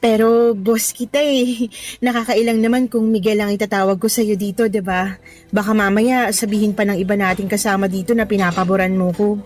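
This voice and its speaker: A woman's voice: mid-range voice, flat delivery, brisk, darkish tone, soft background music, natural voice. A woman playing a frank, playfully evasive young waitress with a Filipino accent in a radio drama. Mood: playful, shy.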